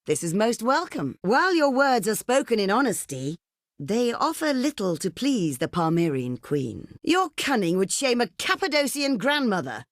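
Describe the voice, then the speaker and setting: An imperious, shrewd queen of a desert realm in a video game. Her voice sits fairly high and moves expressively up and down through formal, theatrical declamation. The recording is very dry and close, with no room around it. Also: big pitch swings, no echo. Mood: haughty, dismissive. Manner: regal, formal, measured declamation. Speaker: game character voice actor.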